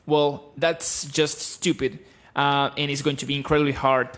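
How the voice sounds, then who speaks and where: Guitar teacher, thirties, Argentine-accented male, blunt and lively, close mic in a small home room. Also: a little high, moderate pace. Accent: Argentine Spanish. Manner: blunt, animated, explaining. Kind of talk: live-streamed guitar lesson. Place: small room with bookshelves, indoors. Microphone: studio mic on stand, close.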